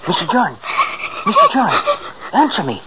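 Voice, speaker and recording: An actor playing a devoted, fussing manservant, gentle and anxious, in 1940s radio style. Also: male voice, extremely high pitch, huge pitch swings, measured pace, heavily acted. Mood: alarmed, consoling. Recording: dull, noisy old radio recording.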